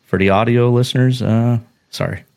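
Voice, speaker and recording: A man's voice: medium pitch, steady tone, normal speed, gravelly, darkish tone, a little hiss or hum. A man hosting a casual podcast, joking around and chatting easily with his co-host. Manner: joking, playful. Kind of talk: casual chat.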